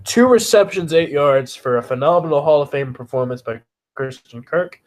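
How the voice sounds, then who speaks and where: A man's voice: a little high, lively intonation, normal speed. A man co-hosting a casual sports podcast while he has a cold and sniffles. He recites game stats bluntly and swears often. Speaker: podcast co-host.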